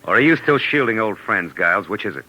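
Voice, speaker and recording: An actor playing a tough, cynical, wisecracking private detective in 1940s radio noir style, clipped and sardonic. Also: male voice, lowish voice, expressive, quick pace, sharp tone, muffled recording. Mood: pressing. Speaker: lead actor and narrator of a radio detective drama. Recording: old 1940s radio recording with music.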